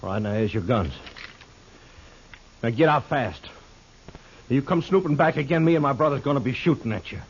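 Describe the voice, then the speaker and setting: An actor playing a hostile, stubborn young ranch son with a rural Western American accent, curt and threatening, in a radio western. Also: male voice, medium pitch, expressive, normal speed, long pauses, natural voice. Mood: surly. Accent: rural American West. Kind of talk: 1950s radio western acting. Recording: dull old radio recording, faint noise.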